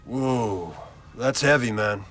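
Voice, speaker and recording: An actor playing a sardonic, foul-mouthed outlaw biker in a video game, blunt and sarcastic, with an American accent. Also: male voice, medium pitch, slow pace, a bit bright, soft background music.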